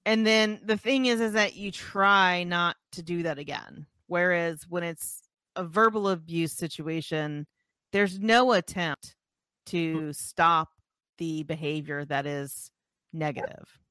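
A woman co-hosting a podcast, calmly explaining and affirming her partner with short interjections. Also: lowish voice, slow, smooth, dry recording. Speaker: podcast co-host. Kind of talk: candid conversational podcast talk.

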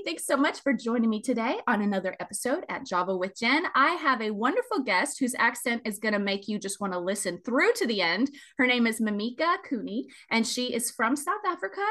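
A woman's voice: a little high, sing-song, fairly quick, few pauses. Enthusiastic woman podcast host. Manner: warm, enthusiastic, affirming listener. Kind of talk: podcast interview and sponsor appeal.

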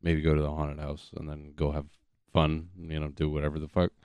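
Low-voiced man swearing through casual chat. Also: completely flat delivery, fairly quick, smooth, no room sound, highs cut off. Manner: profane, slangy. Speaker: podcast co-host.

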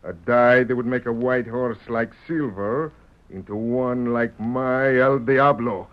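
A man acts a masked bandit chief in a vintage radio western. He schemes in a deliberate, self-satisfied way at a medium pitch, heard through dull old broadcast sound. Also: close to an everyday voice. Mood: smug, scheming. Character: cunning, confident masked outlaw leader, smugly plotting villain.

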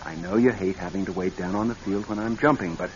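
An actor playing a restless, defensive husband who skydives, in a radio drama style, brushing off worry impatiently. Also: male voice, deep voice, fast talker, a crowd in the background, lightly acted. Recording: muffled radio drama, faint noise.

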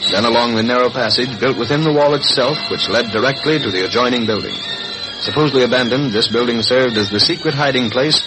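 A man's voice: medium pitch, fast talker, low-quality recording. A man narrating a scripted 1940s radio adventure serial as the announcer. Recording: vintage radio, music underneath.

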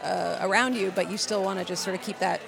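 A woman's voice: medium pitch, fairly even intonation, quick pace, vocal fry. An American woman hosting a travel show, chatting with curiosity and enthusiasm and asking lots of questions. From United States. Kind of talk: casual chat. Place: busy bar. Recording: loud bar music and crowd chatter. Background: music and crowd.

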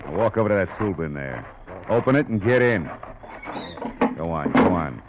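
An American actor playing a tough, wry private detective in a 1940s radio drama, with a clipped, sardonic delivery. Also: male voice, sits low, sing-song, normal speed, background noise. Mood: hard, threatening. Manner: hard-boiled, clipped, sardonic. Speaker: lead actor and first-person narrator. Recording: old radio broadcast with music behind.

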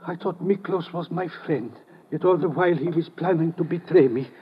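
Earnest male foreign politician-doctor played by an actor, raised pitch, careful formal phrasing, noisy vintage drama broadcast. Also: natural voice. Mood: shaken, earnest. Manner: formal, halting, uncontracted speech. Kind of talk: 1950s radio drama acting. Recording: muffled, very noisy old radio broadcast.

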